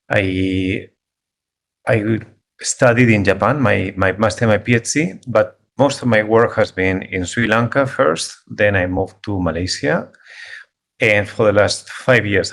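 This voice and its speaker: A middle-aged man with a Spanish accent gives a talk over a video call, in a slightly low voice. He speaks in a halting way, repeating words while he searches for his phrasing. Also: gravelly, fairly bright tone. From Spain. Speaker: guest speaker, conservation biologist. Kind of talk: online talk.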